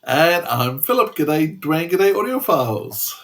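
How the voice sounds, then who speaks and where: A man's voice: fairly high pitch, sing-song, measured pace, fairly bright tone. An enthusiastic Australian man chatting casually as a podcast co-host, rambling along as he goes.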